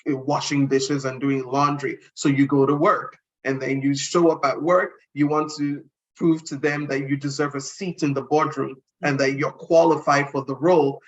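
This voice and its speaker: A woman's voice: a bass voice, level tone, normal speed, lo-fi sound. A middle-aged woman, a guest speaker, answering interview questions earnestly and reflectively, often restarting her sentences.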